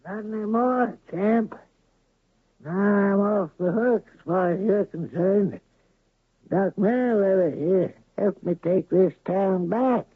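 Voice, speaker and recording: An actor playing a grateful ranch owner in an old radio drama, speaking slowly and earnestly. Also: male voice, high voice, slightly rough, no echo, full character voice. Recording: tinny lo-fi old radio broadcast.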